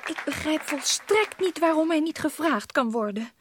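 A woman's voice: high voice, lively intonation, normal speed, over a noisy crowd, very noisy. A woman acting a sulky, lovestruck teenage society girl in a period radio drama, petulant and pouting. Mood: indignant.

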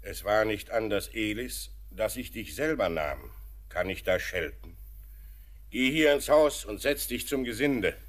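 An actor playing a thoughtful, middle-aged father and mine master, measured and reflective in a poetic radio drama. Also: on the low side, animated. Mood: grave, kindly. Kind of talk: poetic German radio play acting.

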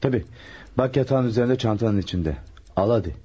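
An actor playing a shrewd, self-assured amateur detective in a Turkish radio drama, speaking in a measured, polite, slightly sly way. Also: male voice, medium pitch, expressive, warm tone, husky. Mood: coolly sly. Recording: muffled lo-fi radio drama recording.

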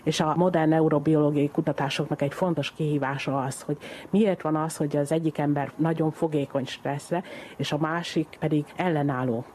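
Brief: male scientist, slightly higher voice, deliberate explainer, faint background noise. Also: a little mellow. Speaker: interviewed scientist. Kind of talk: explanatory interview answers.

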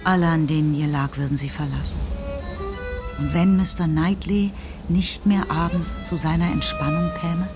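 A woman reading scripted literary narration for a radio play in a measured, composed way. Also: low voice, steady tone. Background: music.

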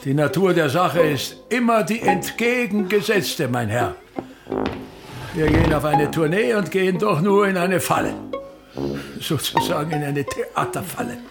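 Pompous, creaky old stage actor. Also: male voice, on the high side, animated, over loud music. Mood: pompous, imperious. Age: older. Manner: grandiose, declamatory, querulous, with dramatic pauses. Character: pompous, embittered, complaining aging state actor, male. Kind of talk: theatrical radio play monologue.

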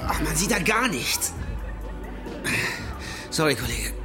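A man's voice: a little high, very animated, takes it slowly, crowd noise. Laconic male small-time crook, music behind. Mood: flippant. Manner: laconic, ironic, offhand asides. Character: flippant small-time drug courier in debt, male, streetwise. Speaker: narrator and lead character. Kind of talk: first-person radio crime drama narration, colloquial.

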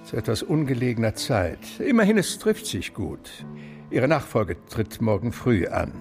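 A cool, imperious doctor in a radio play, formal and condescending. His delivery is brisk and very animated in pitch, with a gravelly edge. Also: soft background music. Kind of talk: German radio drama acting.

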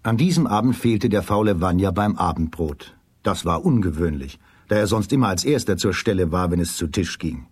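A man narrating a folk tale in a children's radio play, in a measured, slightly husky storytelling voice. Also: low-pitched, lively intonation, a little mellow, dry recording. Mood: calm, descriptive.

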